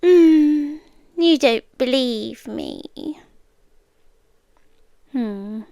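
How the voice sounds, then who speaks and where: A British woman playing an obsessive, possessive young girlfriend in an intimate ASMR roleplay, soft and deliberate, sweetly menacing, slipping into whispers. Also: sits high, expressive, creaky, no room sound, studio quality, heavily acted. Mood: devoted, coaxing. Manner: slow, deliberate, coaxing, with pauses and whispered words. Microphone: close, dry microphone.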